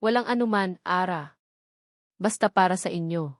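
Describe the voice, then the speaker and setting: A woman's voice: fairly low pitch, normal speed, no echo, clean studio sound, lightly acted. A woman with a Filipino accent voicing an earnest, devoted father pleading with his former love in a romance story. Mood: hopeful, gentle.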